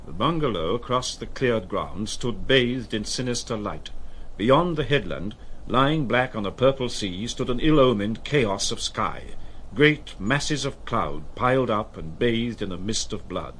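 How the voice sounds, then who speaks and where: A man's voice: on the low side, expressive, unhurried, a bit bright, rough recording. A man narrating a literary audio drama in a scripted, dramatic storytelling style. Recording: clear background noise and ambience.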